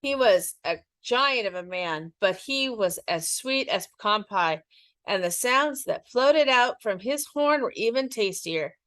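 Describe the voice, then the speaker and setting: A woman reading a children's picture book aloud in an expressive, teacherly storytelling way. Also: medium pitch, a little slow, dry recording.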